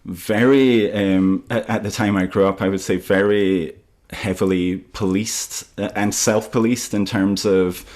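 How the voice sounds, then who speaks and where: A Scottish man, an author, talking about his writing in a festival interview, halting with many fillers and restarts. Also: on the low side, fairly even intonation, a little mellow. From Scotland.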